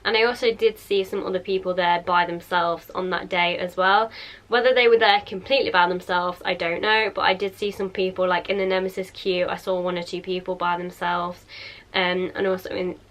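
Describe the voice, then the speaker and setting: A woman's voice: on the low side, steady tone, brisk, a bit bright. Woman with a heavy cold. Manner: chatty and rambling, many ums and likes. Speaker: vlogger. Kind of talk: casual advice vlog.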